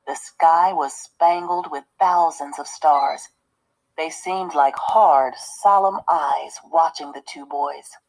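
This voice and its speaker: A woman teacher giving a read-aloud lesson, explaining the story in a measured way and pausing to put questions to listeners. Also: low-pitched, rough recording.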